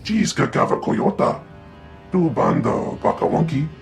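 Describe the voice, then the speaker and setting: A man voicing a shifty alien gangster who babbles excitedly in an invented tongue, for a video game. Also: on the high side, a little slow, creaky, soft background music. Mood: agitated. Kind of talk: video game cutscene voice acting.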